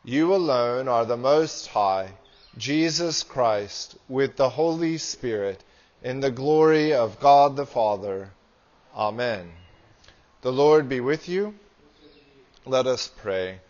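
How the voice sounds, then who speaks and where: A man in his thirties, a priest reading prayers and scripture in a solemn, measured liturgical style. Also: mid-range voice, lively intonation, darkish tone, highs cut off. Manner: solemn, measured, deliberate phrasing. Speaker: priest leading a church service. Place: small church with hard walls.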